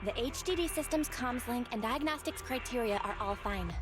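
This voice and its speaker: A woman's voice: fairly high pitch, lively intonation, normal speed, music in the background, natural voice. An actress playing a friendly, capable young woman who supports her partner in a video game, encouraging and matter-of-fact. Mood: encouraging, businesslike.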